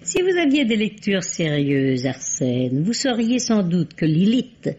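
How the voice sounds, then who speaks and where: An actress playing a learned, drily teasing sidekick to a police commissioner in an old radio mystery. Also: female voice, lowish voice, sing-song, unhurried, darkish tone, breathy edge, heavily acted. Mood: teasing, lecturing. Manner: drily teasing, erudite, superior. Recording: dull vintage radio drama recording.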